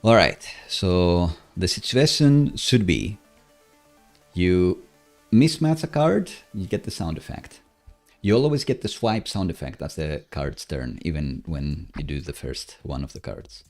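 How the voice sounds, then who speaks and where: A man live-coding on a stream, casually thinking aloud in a hesitant, halting, self-musing way. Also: deep voice. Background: soft background music, computer keyboard typing.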